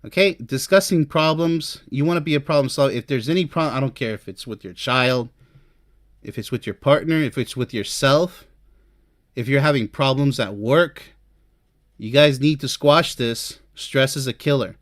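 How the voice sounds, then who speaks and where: A man's voice: mid-range voice, normal speed, dry recording, studio quality. A man giving informal relationship advice as a host, chatty and emphatic, speaking straight to the listener. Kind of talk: informal advice talk to camera, ranking topics.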